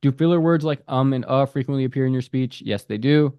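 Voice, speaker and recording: Man, casual app-review voice-over, even mid pitch, dry close mic, reads prompts aloud and comments. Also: fast talker, clear, resonant tone, dry recording, lo-fi sound. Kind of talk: casual screen-recorded app walkthrough.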